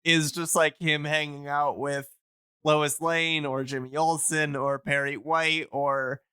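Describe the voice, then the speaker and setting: Bright, clear-voiced man rambling on a podcast. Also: fairly high pitch, flat delivery, slow. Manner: rambling, nerdy explaining. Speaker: podcast host. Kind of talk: casual enthusiast podcast chat.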